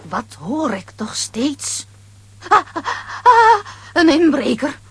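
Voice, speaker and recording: An excitable aunt for a children's audio drama. Her voice is high and very animated, with a fretful, protective, emphatic delivery. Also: slow, slight background noise, full character voice. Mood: alarmed.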